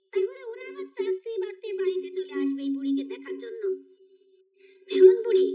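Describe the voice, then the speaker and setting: Woman acting a shrill, very high-pitched bullying daughter-in-law. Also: far from the mic. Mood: bossy. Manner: scolding, bossy, menacing. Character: cruel, bullying young daughters-in-law threatening an old mother-in-law. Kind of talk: children's moral-story cartoon dialogue. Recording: muffled, narrow phone-like sound.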